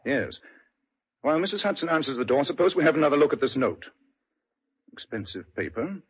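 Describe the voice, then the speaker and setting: An actor playing a dry, precise detective with a cool manner, in an old radio drama. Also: male voice, fairly high pitch, big pitch swings, a little fast, heavily acted. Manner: dry, crisp and deductive. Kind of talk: 1940s radio acting. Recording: dull lo-fi old radio recording.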